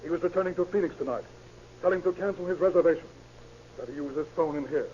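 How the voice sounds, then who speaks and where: An actor playing a brisk, authoritative and wily police chief in an old radio drama. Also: male voice, a little high, mellow, lightly acted. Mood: commanding. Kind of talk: 1940s-50s American radio drama acting. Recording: muffled, noisy old radio recording.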